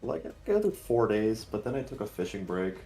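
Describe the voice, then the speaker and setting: A man's voice: lowish voice, sing-song, a little fast, gravelly, mellow, soft background music. A man who tutors calculus, joining a stream over voice chat and joking in a laid-back way. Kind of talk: casual voice chat.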